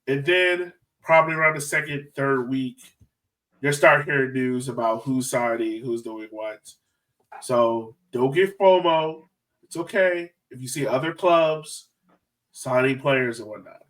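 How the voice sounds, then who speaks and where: A man's voice: medium pitch, measured pace, a bit bright, recorded from a distance, poor audio. A man hosting a casual sports fan podcast, talking in an informal, slangy, joking way. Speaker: podcast host.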